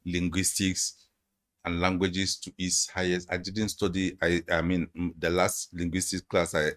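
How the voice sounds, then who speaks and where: A man speaks as a podcast guest, his voice sitting fairly low. He explains things emphatically, often repeating words and phrases as he builds his point. The talk is loose and conversational. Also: monotone, unhurried, fairly bright tone. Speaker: guest analyst. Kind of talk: conversational interview.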